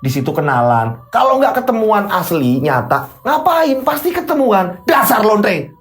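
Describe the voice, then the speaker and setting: A man's voice: fairly high pitch, sing-song, normal speed. A man voicing an irritable husband who talks curtly, scolding and accusing in a dramatic story. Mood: angry. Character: Farid, irritable accusing husband just home.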